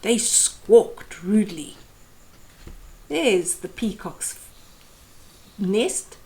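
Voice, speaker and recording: Slow, expressive woman reading to children. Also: mid-range voice. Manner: expressive, deliberate phrasing for young listeners. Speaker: storyteller host. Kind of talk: children's picture book read-aloud. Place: small room at home, indoors.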